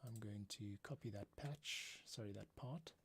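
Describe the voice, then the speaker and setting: A man's voice: fairly low pitch, a little slow, fairly bright tone, a little hiss or hum. A man thinking aloud in a casual way as he works through a music production stream, often muttering to himself. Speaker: composer hosting a live music production stream. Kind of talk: casual think-aloud tutorial while working. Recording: music playing behind the voice. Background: score playback and computer keyboard clicks.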